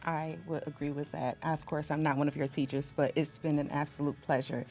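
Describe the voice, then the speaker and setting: A middle-aged woman, an assistant principal, casually interviewing a high school student. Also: little pitch movement, a little fast, mellow, faint music behind.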